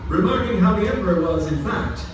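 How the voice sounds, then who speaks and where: A man narrates a scripted puppet-show story. His voice is high and very animated in intonation. It is picked up from a distance in a very echoey, noisy indoor venue. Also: fairly quick.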